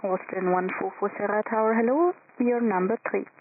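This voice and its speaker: Rapid-fire air traffic controller over crackly radio. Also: medium pitch, no echo. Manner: procedural, reciting instructions and numbers. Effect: aviation radio. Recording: narrow crackly airband radio, faint static.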